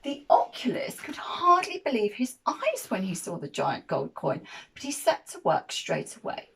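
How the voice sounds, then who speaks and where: A woman reading a children's picture book aloud as an expressive storyteller. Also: medium pitch, normal speed, vocal fry, slightly rough, rough recording.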